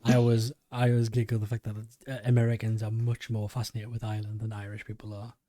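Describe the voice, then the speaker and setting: A man's voice: fairly low pitch, monotone, quick pace, mellow, no room sound. A man with a Geordie accent hosting a podcast, chatting casually and often restarting his sentences. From England. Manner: rambling, many restarts. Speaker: podcast host. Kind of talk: casual chat.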